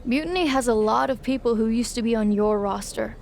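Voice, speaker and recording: A woman playing a cool, sly rival in a wrestling video game cutscene, measured and needling. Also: on the high side, gravelly, darkish tone.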